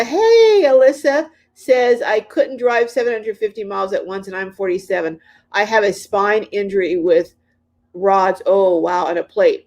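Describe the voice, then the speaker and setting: A woman in her late sixties hosting a casual livestream, reading viewers' comments aloud and chatting back, often repeating herself and pausing with ums. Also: mid-range voice. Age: 60s. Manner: chatty, repeats phrases, frequent ums and restarts. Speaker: livestream host. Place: inside her van or RV.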